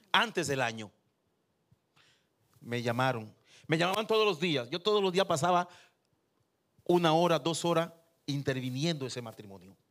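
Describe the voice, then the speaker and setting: A man, a pastor preaching and telling personal anecdotes in a lively way. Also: on the high side. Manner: lively storyteller who acts out quotes.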